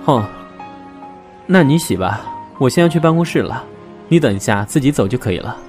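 A man's voice: medium pitch, animated, brisk, darkish tone, natural voice. A man acting in an audio drama as a weary, conflicted young man, restrained and introspective. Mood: awkward, cool. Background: background music.

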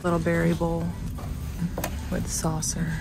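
Soft-spoken young woman shopping vlog. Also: fairly low pitch, monotone, unhurried, rough recording. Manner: chatty, soft-spoken, often murmuring to herself. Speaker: vlogger. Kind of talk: casual shop-along commentary. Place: large retail store indoors. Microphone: handheld phone camera. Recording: loud music under the voice. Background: loud background music.

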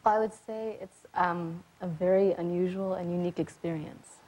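A young woman answering interview questions, soft-spoken and hesitant, with frequent pauses and ums. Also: on the low side, fairly even intonation, lo-fi sound. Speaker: guest. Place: talk show studio.

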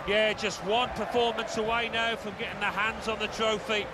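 A man's voice: high-pitched, flat delivery, moderate pace, natural voice. A man as an approving, analytical football co-commentator in a video game. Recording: very loud stadium crowd noise.

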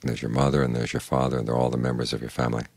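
Very deep gravelly older monk. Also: male voice, dry recording, studio quality. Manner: calm, measured, plain-spoken teaching. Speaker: Buddhist monk giving a Dhamma talk. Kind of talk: evening meditation talk.

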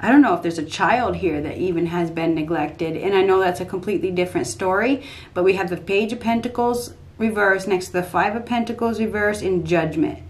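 A woman in her fifties giving an unscripted tarot reading at a desk in a small dark room, repeating herself emphatically as she thinks aloud. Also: mid-range voice, brisk. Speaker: tarot reader.

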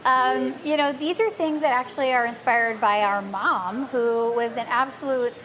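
High-voiced, chatty American woman. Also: moderate pace, a bit bright, poor audio. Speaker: cafe co-owner, interview guest. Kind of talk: casual, chatty interview talk. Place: small cafe, indoors.

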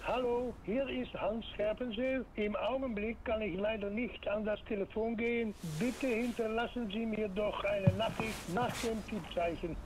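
A man's voice: high-pitched, fairly even intonation, normal speed, lightly acted. An actor playing a man reciting a formal voicemail greeting, heard through a noisy phone answering machine. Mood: polite.

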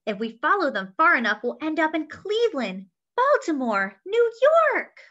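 A young woman reading a picture book aloud to children in an animated, sing-song way, adding small asides to her listeners. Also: sits high, normal speed. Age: young adult. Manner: animated, sing-song storytelling with expressive character lines. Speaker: children's story reader. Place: small indoor room at home. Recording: dull lo-fi webcam sound.

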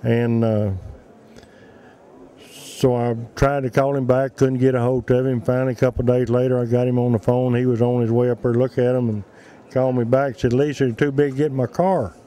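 Mellow older man telling stories. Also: medium pitch, little pitch movement, a little fast. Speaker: museum representative interviewed. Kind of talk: storytelling interview answers. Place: large indoor trade show hall. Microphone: handheld interview microphone.

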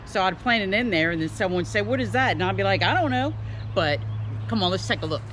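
A woman's voice: mid-range voice, animated, fast talker, a bit bright. An older woman with an American accent chatting casually to camera, playful and self-deprecating. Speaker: vlogger showing her home garden. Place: outdoors in a fenced backyard. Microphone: handheld camera at arm's length. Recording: clearly noisy outdoor ambience.

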